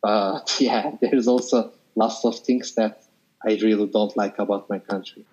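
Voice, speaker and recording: A young man from Turkey speaking English with a Turkish accent, chatting as an interview guest with hesitant pauses and light laughs. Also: fairly low pitch, steady tone, a little mellow, highs cut off. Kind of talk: casual video-call chat.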